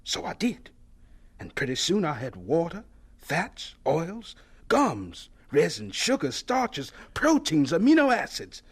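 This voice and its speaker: An actor playing a gentle, devout older professor in a radio drama, with a thin, breathy voice and a stammering, reverent manner. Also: male voice, a little high, wildly swooping pitch, heavily acted. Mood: excited, reverent. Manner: halting, stammering, slow and reverent.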